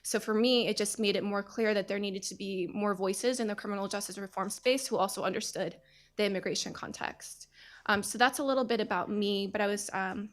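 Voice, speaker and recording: A woman in her thirties moderating a panel discussion, speaking in an earnest, measured way with frequent pauses. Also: mid-range voice. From United States.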